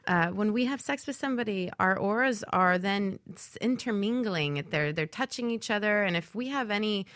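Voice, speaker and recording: A woman's voice: medium pitch, moderate pace, fairly bright tone, dry recording, studio quality. A woman guest expert on a talk show, explaining things casually with a playful, emphatic manner. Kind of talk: casual explanatory radio chat.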